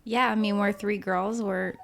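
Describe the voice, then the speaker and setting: A woman's voice: medium pitch, fairly even intonation, unhurried, gravelly. A woman in her mid-thirties co-hosting a podcast, telling stories in an upbeat way and laughing often. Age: 30s. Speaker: podcast co-host. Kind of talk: casual storytelling.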